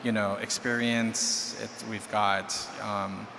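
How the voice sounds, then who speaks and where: A man's voice: fairly low pitch, little pitch movement, takes it slowly. A man on a conference panel, talking casually and a bit rambling, with some laughter, into a handheld mic. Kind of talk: panel discussion. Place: large conference hall stage. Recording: hall reverb, clear crowd-room noise.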